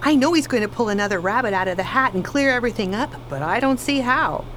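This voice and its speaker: A woman's voice: fairly high pitch, lively intonation, quick pace, fairly bright tone, a little hiss or hum. A woman playing a tired, earnest, exasperated wife in a radio play, passing on what her child says. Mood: weary.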